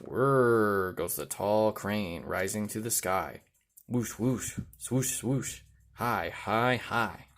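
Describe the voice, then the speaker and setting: Male storyteller, low voice, reading a picture book to a young child with rhythmic, deliberate emphasis. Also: fairly bright tone. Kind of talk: read-aloud children's storytelling.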